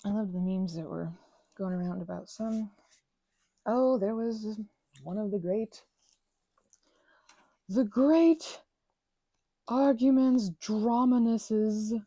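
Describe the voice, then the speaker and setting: Husky-voiced woman host, soft crafting ramble, slides in and out of whispers; gravelly, dry close sound. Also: medium pitch, no echo. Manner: drifts between whispers and soft chatter, self-mocking. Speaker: ASMR channel host.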